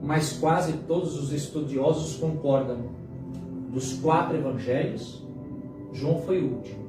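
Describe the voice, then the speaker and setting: A Brazilian man in his late fifties preaching a sermon as a pastor, using dramatic pauses and repetition for emphasis. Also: a little high. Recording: very echoey hall, distant mic, music behind. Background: music.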